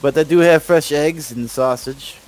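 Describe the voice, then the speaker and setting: A man's voice: on the high side, normal speed, a little mellow, natural voice, poor audio. A man playing a humble older villager who speaks simple, broken English in a meek, hesitant way.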